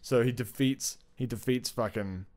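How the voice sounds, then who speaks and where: A man hosting a podcast, chatting casually and telling stories in an animated, slangy, sweary way. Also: medium pitch, moderate pace. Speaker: podcast host. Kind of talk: casual chat about comics.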